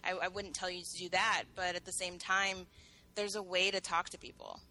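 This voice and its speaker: A woman giving her view in a casual discussion, thoughtful and measured. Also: lowish voice, little pitch movement, pushed and bright, no room sound, low-quality recording. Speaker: panel guest.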